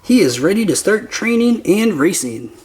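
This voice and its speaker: A man reading a children's picture book aloud as narrator, with simple, deliberate phrasing for young listeners. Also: high voice, big pitch swings, a little mellow. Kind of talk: slow scripted children's story narration.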